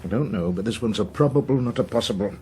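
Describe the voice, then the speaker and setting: An actor playing an anxious, irritable senior politician in a radio drama, with a British accent and a blunt, grumbling manner. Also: male voice, fairly low pitch, fast talker, a little mellow, lightly acted. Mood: grave, uneasy.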